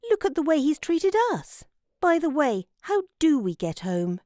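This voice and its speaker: A woman's voice: high voice, big pitch swings, a little slow, dark tone, no room sound, studio quality, voice pushed up. A woman playing an eager, slightly puzzled young boy in a children's storybook, plain-spoken and earnest. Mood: easygoing.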